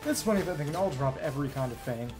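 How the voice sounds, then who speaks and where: A man giving casual gameplay commentary as a streamer, thinking aloud in short, halting fragments. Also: on the high side, animated. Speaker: game streamer. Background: video game music.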